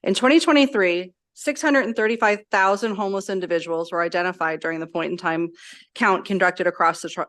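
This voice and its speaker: Woman legislator reading formal testimony, low voice. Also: fairly bright tone. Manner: earnest, reads steadily from prepared remarks. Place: legislative committee hearing room.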